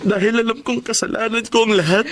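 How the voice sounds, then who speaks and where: A man's voice: very high voice, steady tone, quick pace, fairly bright tone, soft background music. A man playing a humble, ashamed grown son in jail, apologetic, in a radio-style drama. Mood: remorseful. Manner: apologetic, contrite. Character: remorseful jailed adult son, humble and ashamed.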